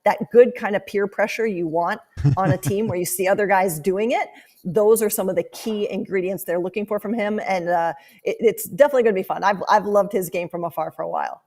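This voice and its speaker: A woman's voice: mid-range voice, fairly quick, a little mellow. A woman speaking as a guest sports analyst in a podcast interview, explaining her thinking conversationally.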